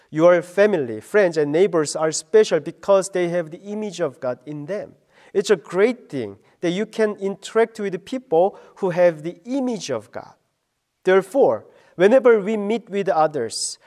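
A middle-aged man, a pastor giving an earnest, slow radio devotion sermon. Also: on the high side, darkish tone.

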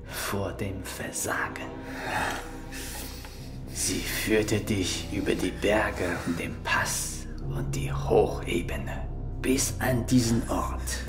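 An actor playing a solemn old Shaolin monk and mocking storyteller in a German radio play, often dropping into a whisper. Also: male voice, fairly low pitch, roomy sound. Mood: menacing, mocking. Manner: slow, dramatic, often whispery delivery. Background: music.

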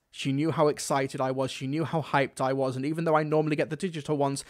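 A young man presenting a scripted review to camera in an emphatic, conversational way, close to a desk microphone. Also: mid-range voice. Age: young adult. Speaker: video reviewer.

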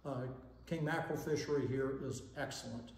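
A man's voice: mid-range voice, normal speed. Older man teaching fishing in echoey room. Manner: folksy, explanatory, checks in with "okay?". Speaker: fishing seminar instructor. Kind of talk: instructional talk to camera. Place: indoor room with fireplace and banner. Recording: echoey room, long reverb.

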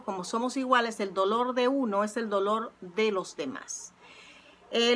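A middle-aged woman speaks straight into a close phone camera from her living-room couch, in a slightly high voice. Her tone is earnest and reflective, and she often pauses with "eh" between thoughts. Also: a bit bright. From not Puerto Rico, living there twenty years. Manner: earnest, reflective, frequent "eh" fillers. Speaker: channel host. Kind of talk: casual heartfelt vlog monologue. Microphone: phone or webcam close up.

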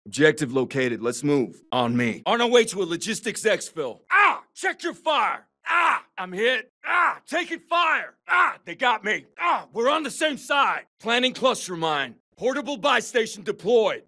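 A grim, battle-hardened male survivor in a military shooter game. His voice sits fairly high for a man and swings widely in pitch. He snaps out terse, commanding combat callouts. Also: very animated, unhurried, few pauses, bright, forceful tone, heavily acted. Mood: urgent, shouting. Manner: terse, commanding, urgent barks. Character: grim, hardened middle-aged male survivor turned soldier. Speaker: video game voice actor.

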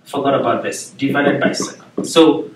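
A man's voice: mid-range voice, normal speed, a little hiss or hum. A man in his thirties with a Nigerian accent, giving a physics lecture at a whiteboard and explaining step by step, often checking in with "okay?". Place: classroom with whiteboard, indoors. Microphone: far camera. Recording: echoey room, voice from a distance.

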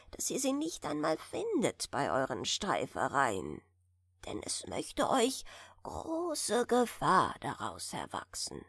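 A woman voicing a grave old village man from a fairy tale, slow, hushed and ominous. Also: mid-range voice, vocal fry, breathy edge, dry recording, studio quality, exaggerated voice.

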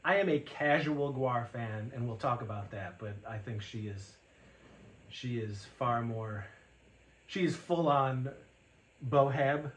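Homem de quarenta e poucos, voz projetada. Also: male voice, medium pitch, takes it slowly, roomy sound, low-quality recording. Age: 40s. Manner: loud, projecting voice, chuckles often. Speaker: podcast host. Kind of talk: casual chat. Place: living room full of shelves.

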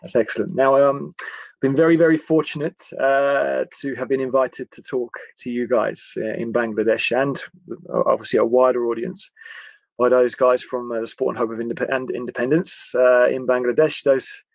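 English male coach, gravelly edge, chatty and hesitant, live to webcam in a dry small room. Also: mid-range voice, steady tone, no echo, rough recording. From England. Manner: friendly, chatty, halting with frequent pauses. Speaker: football coach giving a livestream talk. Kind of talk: informal live talk to camera. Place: indoors, small attic room.

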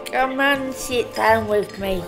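A woman's voice: on the high side, takes it slowly. A woman playing an effusive, easily offended admirer in an amateur radio play, recorded at a distance. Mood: inviting. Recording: roomy, distant voice under loud music.

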